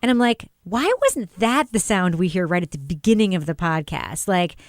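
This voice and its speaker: A woman's voice: fairly low pitch, expressive, moderate pace, dry recording, clean studio sound. A woman hosting a podcast, talking casually as she reviews and puts questions to a panel. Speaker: podcast host and critic.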